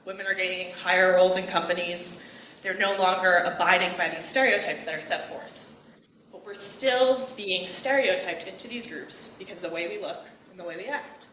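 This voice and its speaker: A woman's voice: on the low side, moderate pace, slight background noise. Young woman, bold and outgoing speaker, far-off mic, roomy echo. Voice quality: outgoing, loud and direct. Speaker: speaker giving a talk. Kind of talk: personal speech on gender roles. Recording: echoey room, voice from afar.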